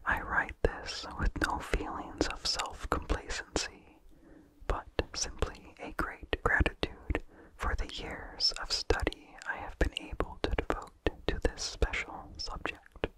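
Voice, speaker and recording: A person reading a vintage horror story aloud for ASMR in a hushed, breathy whisper with slight mouth sounds, slow and deliberate. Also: rough recording.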